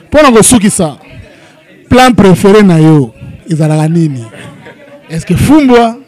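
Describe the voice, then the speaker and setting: A man with a high voice puts questions to the guests, loud and emphatic as he calls out to the room. He sounds like a press event interviewer pushing each question across a busy space. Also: lively intonation, measured pace, some chatter behind.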